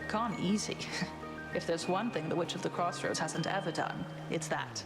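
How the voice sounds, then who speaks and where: A woman's voice: lowish voice, expressive, moderate pace, close to an everyday voice. A woman playing a confident, wry goddess in a video game, speaking with easy assurance. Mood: wry, assured. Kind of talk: video game character acting. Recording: loud music behind the voice.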